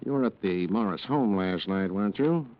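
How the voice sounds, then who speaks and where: An actor playing a dry, probing police lieutenant in 1940s radio noir style, deliberate and understated. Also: male voice, on the low side, expressive, natural voice. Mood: quietly accusing. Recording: dull vintage radio recording.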